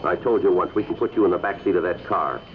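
An actor playing a stern police sergeant, asking curt, flat, matter-of-fact questions in an old radio crime drama. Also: male voice, sits low, quick pace, music in the background, lightly acted. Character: stern clipped adult male police sergeant. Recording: tinny old radio broadcast.

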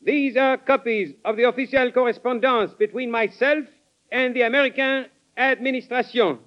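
High-voiced envoy, fiery and scheming, old muffled radio drama. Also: male voice, steady tone, moderate pace. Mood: persuasive. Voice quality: impassioned, declamatory. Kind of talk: 1940s radio acting.

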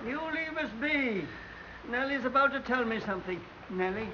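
An actor playing a frail, confused old elevator man, tender and halting, in an old-time radio drama. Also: very high-pitched, lively intonation, sharp tone, full character voice. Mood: pleading. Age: older. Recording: dull, hissy old radio recording.

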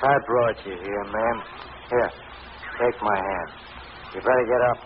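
A man's voice: fairly low pitch, fairly even intonation, a little slow, close to an everyday voice. An actor playing a folksy, casually menacing henchman with a drawling rural American accent in an old-time radio drama. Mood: folksy, teasing. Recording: noisy, tinny vintage radio recording.

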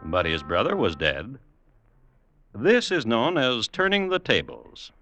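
A man hosting and narrating a 1950s radio drama with wry, deliberate scripted delivery. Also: medium pitch, wildly swooping pitch. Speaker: host and narrator. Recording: vintage radio broadcast with music.

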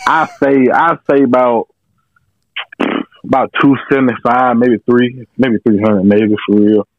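A man in his twenties with a deep, thick voice, calling in to chat casually, halting and repeating his phrases. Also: steady tone, no echo. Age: 20s. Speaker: caller to a podcast. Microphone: phone call into the show. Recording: dull, lo-fi call audio.

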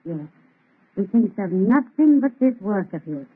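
A woman's voice: mid-range voice, very animated, measured pace, breathy edge. A woman playing an anxious, disapproving housekeeper with a grumbling, emphatic manner in old radio drama style. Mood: worried, fearful. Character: fretful, scolding female housekeeper, anxious and disapproving. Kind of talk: 1930s radio drama acting. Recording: tinny, scratchy old radio broadcast.

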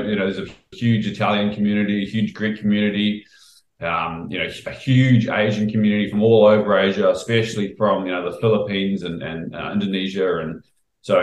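A man's voice: fairly low pitch, steady tone, brisk, reverberant. A middle-aged man with a New Zealand accent, a chef chatting casually in an interview, rambling with many fillers and restarts. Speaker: chef guest.

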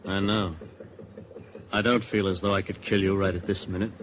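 An actor as a cool skipper, noisy old radio. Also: male voice, deep voice, little pitch movement, lightly acted. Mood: coolly threatening. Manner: measured, commanding, dryly taunting. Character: shrewd, cool-headed one-armed British fishing boat skipper. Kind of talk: 1940s radio drama acting. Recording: muffled, noisy 1940s radio recording.

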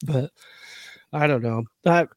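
A man's voice: on the high side, takes it slowly, a little mellow, no echo, studio quality. A man co-hosting a sports betting podcast, laid-back and casually swearing, a bit under the weather. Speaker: podcast co-host.

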